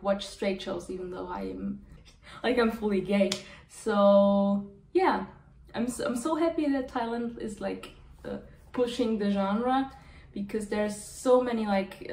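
Slow-talking young woman in an echoey room. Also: mid-range voice, a little mellow, far from the mic. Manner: rambling, chatty, giggly, many fillers. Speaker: reaction channel host. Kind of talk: casual chat to camera. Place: bedroom at home, indoors. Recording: echoey room, slightly off mic.

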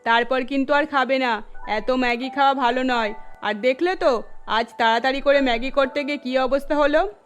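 A woman's voice: high-pitched, moderate pace, voice pushed down, lightly acted. A woman voicing a scolding but caring middle-aged mother-in-law in a children's cartoon, firm and motherly. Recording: music bed under the voice.

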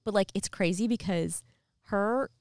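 A woman's voice: medium pitch, a little slow, dry recording, clean studio sound. A young woman co-hosting a podcast outdoors, chatting casually and rambling with lots of fillers into a handheld mic. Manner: rambling, filler-heavy storytelling. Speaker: podcast co-host. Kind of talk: casual chat. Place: outdoors by a fire pit.

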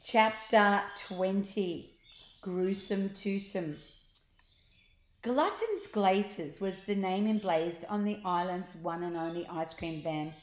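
A woman in her sixties reading a children's story aloud expressively and deliberately, with asides to young listeners. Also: mid-range voice. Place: large open living room, indoors. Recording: roomy, echoing living room.

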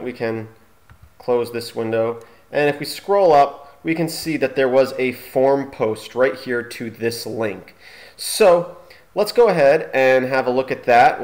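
A man presents a screencast tutorial in an informal way. His voice sits at a medium pitch, and he talks haltingly, thinking aloud as he works through each step. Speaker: tutorial host.

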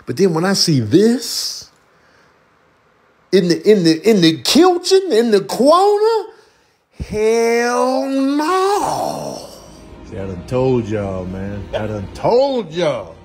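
A man riffs casually and comically, his pitch swinging freely as he leans hard on his words. His talk is slangy and emphatic, with faint music behind him. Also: huge pitch swings, slow, a little mellow, breathy edge. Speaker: comedian doing voiceovers and food vlogs. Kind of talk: comedic casual commentary.

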